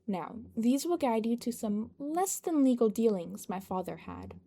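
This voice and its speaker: A woman's voice: fairly high pitch, very animated, unhurried, dark tone, exaggerated voice. A woman voicing a cocky, composed young male leader with an easygoing, wry manner in a narrated story.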